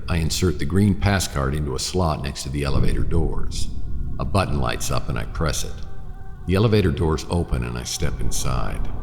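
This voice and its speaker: A man playing a hard-boiled detective who narrates his own story in a radio drama, gravelly and measured, with brooding suspense. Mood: tense, detached. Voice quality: gravelly, very deep. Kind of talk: first-person scripted radio drama narration. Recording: long reverb, music underneath.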